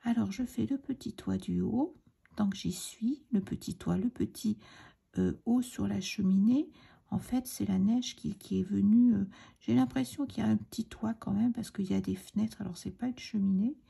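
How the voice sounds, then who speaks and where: A middle-aged woman with a cold, hoarse and breathy, chatting hesitantly and often half-whispering as she explains a crafting tutorial. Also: mid-range voice, normal speed, mellow. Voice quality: raspy, breathy, gravelly. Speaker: card-making tutorial host.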